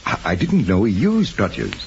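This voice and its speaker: A man's voice: fairly low pitch, huge pitch swings, moderate pace, creaky, full character voice. An actor playing an evasive, defensive male importer in an old-time radio drama, guarded and emphatic. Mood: indignant. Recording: muffled, noisy old radio recording.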